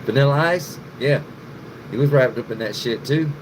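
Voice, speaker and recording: A man's voice: medium pitch, moderate pace. Profane rambling middle-aged man livestreaming. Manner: profane, rambling, addresses chat by name. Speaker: livestream host. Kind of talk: casual livestream chat with viewers. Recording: noticeable background noise, air conditioning hum.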